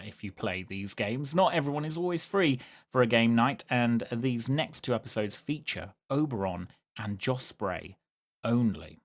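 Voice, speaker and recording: Man hosting a tabletop game, chatty. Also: mid-range voice. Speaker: host and game master.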